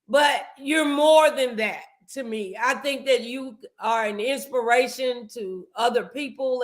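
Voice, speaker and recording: A middle-aged American woman hosting a motivational talk show, speaking in an emphatic, deliberate way. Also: on the high side, fairly bright tone.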